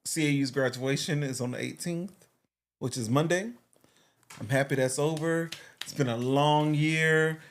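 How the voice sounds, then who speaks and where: A man's voice: on the high side, unhurried. A man in his thirties co-hosting a podcast, laid-back and teasing in casual chat. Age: 30s.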